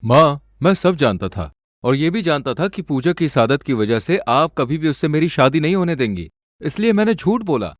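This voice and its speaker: A man voicing an earnest, protective young husband with a firm, sincere manner in an animated moral story. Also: a little high, normal speed, no echo, clean studio sound. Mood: regretful.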